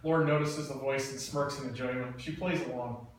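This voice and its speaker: A man's voice: medium pitch, steady tone, fairly quick. A man reading screenplay scene directions aloud at a table read, plain and matter-of-fact. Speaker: narrator. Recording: echoey room, mic far off, faint noise.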